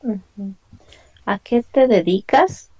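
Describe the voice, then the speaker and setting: A woman's voice: mid-range voice, lively intonation, slow, husky, muffled recording. A woman, teaching an online language lesson and patiently explaining and repeating questions. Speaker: Spanish teacher.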